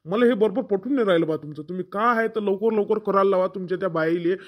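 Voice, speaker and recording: A harried village family man played by a male voice actor in a cartoon comedy. His voice sits high for a man, and he nags in a rustic Varhadi Marathi accent from Vidarbha, hurrying everyone along. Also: quick pace, highs cut off, natural voice. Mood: complaining.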